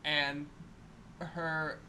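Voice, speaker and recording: Higher, bright-voiced man, wryly teasing. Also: flat delivery, extremely slow. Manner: wry, teasing. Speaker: podcast co-host. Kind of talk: casual gaming banter. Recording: noisy amateur podcast recording.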